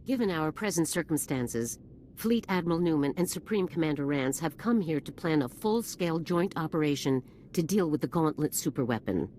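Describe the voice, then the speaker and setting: A stern female general in a video game, with a very low voice for a woman. She is formal and commanding, with faint ambient noise behind her. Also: animated, moderate pace, dark tone. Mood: authoritative. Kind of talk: video game dialogue acting.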